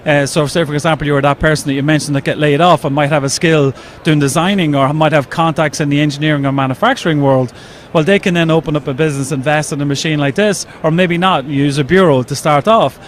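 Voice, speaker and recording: A man's voice: a little high, steady tone, fast, slight background noise. An Irish man explaining his company's product enthusiastically in an interview at a trade show. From Ireland. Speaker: company representative.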